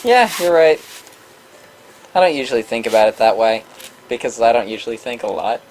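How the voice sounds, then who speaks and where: A man's voice: mid-range voice, lively intonation, brisk, voice pushed down, lightly acted. A man voicing an introspective, self-doubting young male gamer, reading visual novel dialogue aloud over a noisy recording. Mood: self-deprecating.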